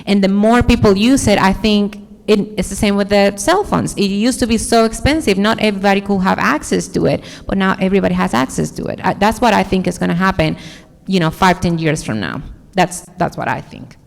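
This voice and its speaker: Dominican woman, gravelly, echoey hall. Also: mid-range voice, a little fast. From Dominican Republic. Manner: earnest, searching for words. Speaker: conference speaker. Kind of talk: audience Q&A answer. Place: large conference hall.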